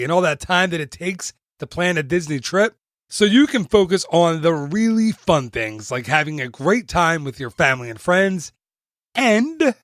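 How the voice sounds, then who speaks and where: A man's voice: fairly high pitch, a bit bright, no echo, clean studio sound. A man hosting a travel podcast, reading an upbeat promo in a chatty way with self-deprecating jokes. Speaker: podcast host and travel agent. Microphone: studio mic.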